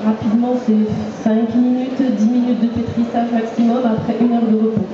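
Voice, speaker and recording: A slightly hoarse young woman giving a live cooking demonstration to an audience, talking hesitantly with many fillers. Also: on the high side, little pitch movement, moderate pace, over music. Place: indoor fair hall with an audience. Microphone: handheld microphone. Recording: distant, echoey, muffled hall recording.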